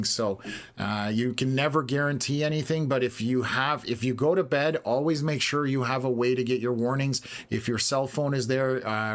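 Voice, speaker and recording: A laid-back man hosting a weather livestream, talking to his viewers in an unhurried way. His pitch sits in a typical middle range for a man. He uses plenty of filler words as he thinks out loud. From Canada. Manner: unhurried, plain-spoken, many filler words. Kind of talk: casual livestream chat answering viewer questions.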